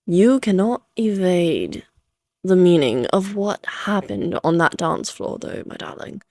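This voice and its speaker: A woman's voice: fairly low pitch, lively intonation, unhurried, mellow, no echo. A woman playing a playful, flirtatious immortal vampire in a Victorian fantasy audio roleplay, teasing in genteel, archaic phrasing.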